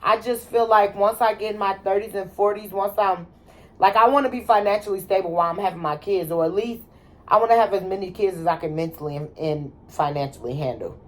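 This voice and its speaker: A woman's voice: mid-range voice, fairly quick. A woman in her twenties talking casually and bluntly as the host, swearing freely and using slang. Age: 20s. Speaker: channel host. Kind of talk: casual chat.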